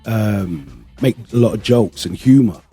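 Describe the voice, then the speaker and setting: A male podcast host who grew up speaking Greek first. His voice is dark and rough-edged at a middle pitch. He talks casually and openly, pausing to find his words, with faint music behind him. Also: animated. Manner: halting, candid, searching for words. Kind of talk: casual panel chat.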